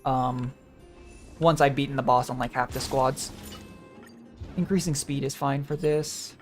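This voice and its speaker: A game streamer talks casually through their play in a mid-pitched voice. The delivery rambles, as if thinking aloud, with game music playing behind it. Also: steady tone, unhurried. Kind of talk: casual gameplay commentary. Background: video game music.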